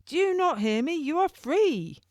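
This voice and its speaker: A woman's voice: high-pitched, very animated, measured pace, a little mellow, no echo, clean studio sound, pitched above the performer's own voice. A woman voicing a young male prison turnkey in a historical audiobook, urgent and insistent, with emphatic, archaic formal speech. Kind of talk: audiobook character dialogue.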